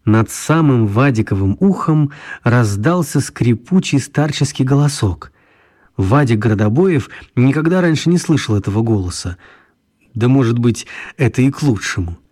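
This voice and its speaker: A man narrating a children's radio story in an expressive, mock-grand dramatic style. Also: medium pitch, moderate pace, lightly acted. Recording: clear background noise and ambience.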